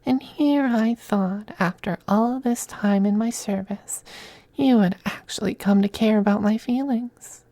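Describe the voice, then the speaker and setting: A man playing a possessive, teasing femboy vampire lover in an ASMR roleplay, speaking in soft, languid, condescending half-whispers. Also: high-pitched, measured pace, no room sound. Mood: wistful, needling.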